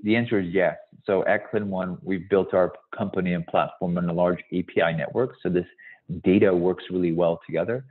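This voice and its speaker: A man in his forties, from Hungary and raised partly in the US, explaining things in a measured, emphatic way as a guest presenter on a webinar. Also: low voice, breathy edge. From born in Hungary, moved to the US as a child. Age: 40s. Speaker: company founder, guest presenter. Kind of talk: webinar presentation and question answering. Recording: thin, phone-like call audio.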